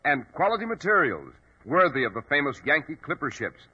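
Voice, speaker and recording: A man's voice: a little high, sing-song, brisk, breathy edge. A man reading a 1940s radio commercial as an announcer with a polished sales delivery. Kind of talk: 1940s radio advertising read. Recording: dull 1940s radio recording.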